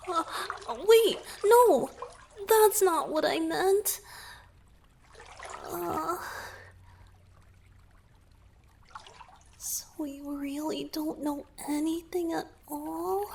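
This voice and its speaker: A woman's voice: extremely high pitch, drawn-out words, creaky, a little mellow, full character voice. A woman playing a shy, flustered young merman boyfriend in a romantic ASMR roleplay, speaking hesitantly and earnestly to the listener. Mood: flustered, embarrassed. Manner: hesitant, flustered, with sighs and murmured asides. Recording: background noise with trickling water.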